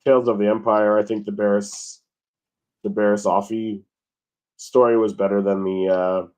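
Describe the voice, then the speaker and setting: A man co-hosting a casual podcast chat, a little hoarse as he is losing his voice, relaxed and easygoing. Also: fairly low pitch, normal speed, poor audio. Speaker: podcast co-host.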